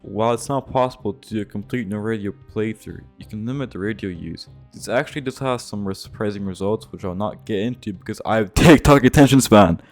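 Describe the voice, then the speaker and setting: Low-voiced man narrating over guitar music. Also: a little fast, hardly any pauses. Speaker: video essay narrator. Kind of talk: casual scripted video game commentary. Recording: music behind the voice.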